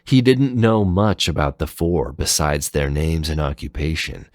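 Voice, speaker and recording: A man's voice: very deep voice, dry recording, studio quality. A man narrating a scripted dramatic fan fiction story.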